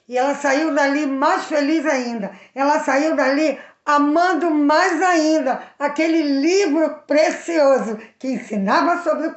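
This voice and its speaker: Brazilian woman storyteller, higher voice, lively and direct with young listeners, roomy sound. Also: lo-fi sound. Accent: Brazilian Portuguese. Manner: animated, addresses the young viewers directly. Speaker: children's Bible storyteller. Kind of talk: storytelling for children.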